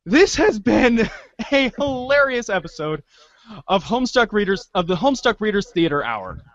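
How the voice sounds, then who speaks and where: A man's voice: high voice, big pitch swings, moderate pace, dry recording, highs cut off. A man hosting a comedy show with casual, playful announcing. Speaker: show host.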